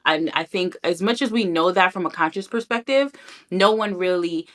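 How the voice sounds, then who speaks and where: A woman's voice: fairly low pitch, normal speed, a bit bright. A woman hosting a podcast interview, enthusiastic and affirming, with reflective comments. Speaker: podcast host.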